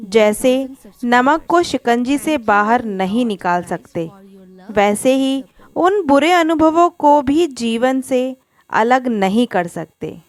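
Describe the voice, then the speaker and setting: A woman's voice: on the high side, dry recording. A woman voicing a kindly, grave male professor in a moral story, speaking patiently and instructively.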